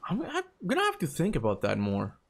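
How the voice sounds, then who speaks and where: A man's voice: on the high side, huge pitch swings, brisk, gravelly. A man streaming a video game, chatting casually, thinking aloud, repeating himself and laughing easily. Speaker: gaming streamer. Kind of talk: casual livestream commentary.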